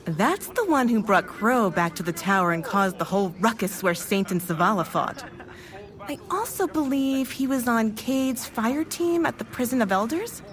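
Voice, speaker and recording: A woman's voice: on the high side, lively intonation, moderate pace, close to an everyday voice. A woman playing a gossipy, sisterly companion spirit in an audio drama, with a knowing, teasing way of talking. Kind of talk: fan audio drama dialogue.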